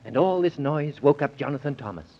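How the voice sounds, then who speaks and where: A gentle father, spins a bedtime tale in a husky voice that rises and falls widely. His playful, indulgent old radio delivery comes through a dull vintage recording. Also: on the high side, animated, fairly quick. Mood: excited storytelling. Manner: indulgent, dramatic storytelling with repetitions. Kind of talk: 1930s radio children's drama acting.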